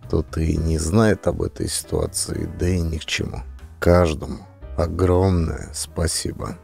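A man with a very low voice reads as an audiobook narrator. His tone is dark and mellow, with plenty of gravel in it. The delivery is scripted narration. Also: animated, unhurried, faint music behind. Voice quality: deep, gravelly.

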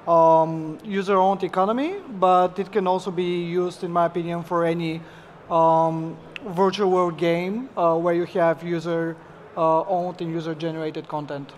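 A young man speaks as a panelist through a headset mic, brisk and businesslike. His voice carries a long reverb from a large hall. A faint hum sits in the background. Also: a little high, steady tone, muffled recording. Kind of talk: conference panel discussion. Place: large conference hall stage.

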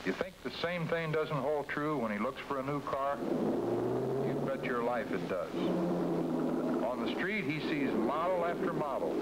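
A man's voice: mid-range voice, low-quality recording. A middle-aged American man coaching salesmen in a scripted, folksy 1950s training-film narration style. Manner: folksy, direct second-person coaching. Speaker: company executive presenting a dealer training film. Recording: hissy 1950s film soundtrack.

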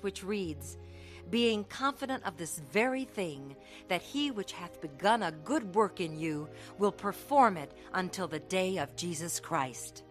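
An actress playing a steadfast middle-aged mother with an American accent, telling her testimony in an earnest, measured way for a radio drama. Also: lowish voice, fairly bright tone. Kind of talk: radio drama narration and dialogue.

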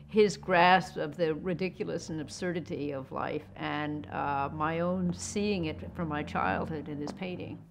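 A woman in her late fifties from the United States, speaking thoughtfully and with measured care, as in a reflective interview or poetry reading. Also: lowish voice. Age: fifty-eight. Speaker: painter and poetry reader.

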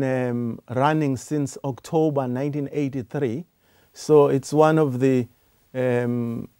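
Middle-aged man, orchestra executive, mellow voice, dry close sound. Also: medium pitch, dry recording. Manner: plain, factual answers. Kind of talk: studio interview. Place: TV studio.

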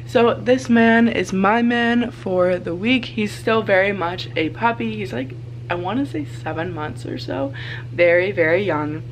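A woman's voice: mid-range voice, measured pace, slight background noise, poor audio. A college student in her twenties, vlogging casually and upbeat to a handheld camera, chatting to her dog as she goes. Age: 20s. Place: dorm room, indoors. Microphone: camera held at arm's length.

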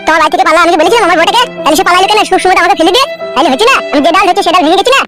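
A man puts on a sorry cartoon underling, abandoned and aggrieved. The voice is very high for a male and whines along quickly. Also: loud music behind the voice. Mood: self-pitying, aggrieved. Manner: whining, aggrieved. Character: self-pitying village underling abandoned by his wife. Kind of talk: amateur comedy cartoon dialogue.

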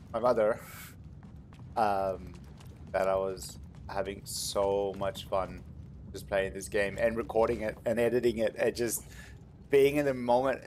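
A man giving casual gameplay commentary, rambling and thinking aloud as he hosts. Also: lowish voice. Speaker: gaming video host. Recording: clear background noise, game sound. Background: video game ambience.

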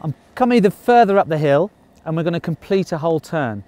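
A man's voice: fairly high pitch, expressive, fast talker, dark tone, faint music behind. A man in his thirties giving a ski lesson outdoors on a snowy slope, explaining in a plain, upbeat way with light jokes. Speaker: ski instructor.

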